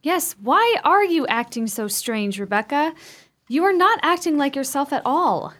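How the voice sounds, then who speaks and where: A woman's voice: sits high, lively intonation, a little slow, fairly bright tone. A woman acting an anxious, easily alarmed sister in a radio play, full of urgent questions. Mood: puzzled, fretful.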